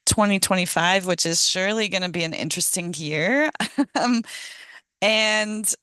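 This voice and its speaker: A middle-aged woman, hoarse from a cold, giving a casual presentation on a video call with many ums and small laughs. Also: mid-range voice, unhurried, sharp tone, dry recording, studio quality. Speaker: guest speaker, ecologist. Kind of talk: online meeting presentation.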